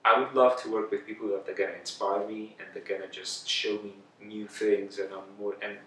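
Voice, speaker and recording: A man's voice: lowish voice, little pitch movement, fairly quick, a bit bright, a little hiss or hum. A man in his thirties whose first language is Greek, an actor talking thoughtfully in an interview while seated indoors, often restarting his sentences. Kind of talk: casual interview talk. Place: indoor room, seated on a sofa. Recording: roomy, slightly distant sound.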